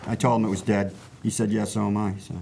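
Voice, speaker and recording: A man with a mid-pitched voice chats easily and drops in deadpan one-liners. There is a faint murmur of room noise in the background. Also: fast, vocal fry. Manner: dry joking asides. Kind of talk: casual chat.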